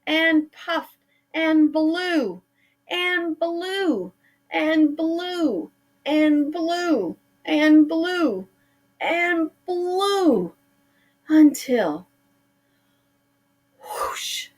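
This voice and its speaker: A woman's voice: sits high, animated, extremely slow, pauses often. A middle-aged woman teacher reading a picture book aloud to her pupils, drawing out words for effect.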